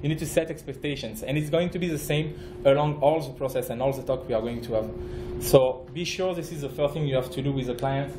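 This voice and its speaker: A man from France with a French accent, giving a conference talk as a project manager, halting, with many fillers and restarts. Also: mid-range voice, reverberant, noisy background. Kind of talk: conference presentation. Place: conference room.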